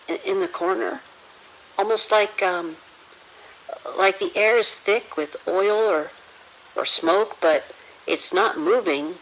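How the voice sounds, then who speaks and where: A woman's voice: mid-range voice, fairly bright tone, slight background noise, poor audio. A woman playing a nervous security officer on night shift in an audio drama, speaking hesitantly with stammers. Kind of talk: immersive audio drama acting.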